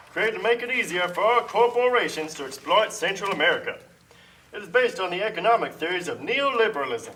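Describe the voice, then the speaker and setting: Man playing a high, bright, smug pitchman. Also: far from the mic, a little hiss or hum, exaggerated voice, rough recording. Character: pompous pitchman personifying free-trade agreement and neoliberalism. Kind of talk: puppet show satire, explanatory pitch. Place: community TV studio.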